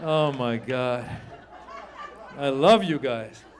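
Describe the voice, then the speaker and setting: A man performing a stand-up comedy set on a comedy club stage, talking with the crowd and acting out loud voices. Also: medium pitch, drawn-out words, slight background noise. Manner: animated, crowd work, acts out loud voices.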